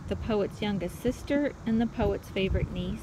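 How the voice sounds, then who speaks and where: A woman's voice: medium pitch, moderate pace, background noise. A middle-aged woman narrating a casual outdoor vlog like a tour guide. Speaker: family vlogger and tour guide. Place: outdoors and in a car at a cemetery.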